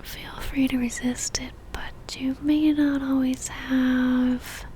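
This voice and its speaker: A woman playing an obsessive, seductive female vampire in a whispered ASMR roleplay, speaking intimately with a teasing, soft menace. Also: high voice, flat delivery, takes it slowly, a little hiss or hum. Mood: seductive, possessive.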